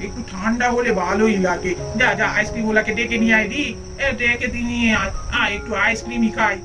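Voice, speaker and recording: A woman's voice: a little high, fairly even intonation, fast talker, lightly acted. A woman voicing a bossy, teasing elderly village grandmother in a doll story, with scolding, exclamatory speech. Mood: eager. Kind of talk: puppet doll story voice acting. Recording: distant mic under clear background music.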